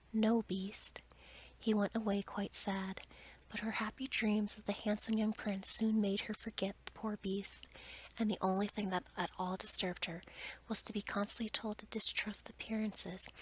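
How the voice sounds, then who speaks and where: A woman reading a bedtime story aloud for ASMR in a soft, breathy, measured whisper. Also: medium pitch, poor audio.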